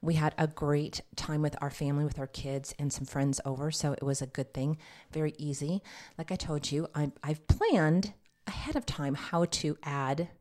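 A woman's voice: low voice, moderate pace, mellow. A woman hosting a health podcast as a nurse practitioner, sounding nasal and congested, chatting straight to her listeners. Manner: chatty, addresses listeners directly as ladies. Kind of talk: casual health podcast talk.

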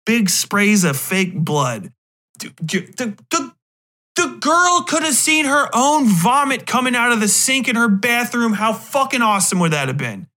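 A man's voice: very high-pitched, sing-song, measured pace, bright, forceful tone. A man hosting a podcast, delivering a casual, sweary rant in a sarcastic, exasperated and emphatic manner. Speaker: podcast host. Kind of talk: casual profane movie rant.

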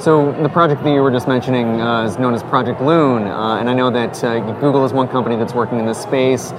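A man's voice: medium pitch, fairly even intonation, brisk. A man interviewing a guest, asking polite, hesitant questions with many fillers. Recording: noisy background hiss.

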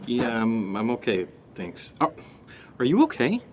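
An actor playing a wary, exasperated and sarcastic male housemate with a husky voice, in naturalistic audio drama dialogue, hesitant and given to swearing. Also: medium pitch, wildly swooping pitch, unhurried, a little hiss or hum. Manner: hesitant, sardonic, profane.

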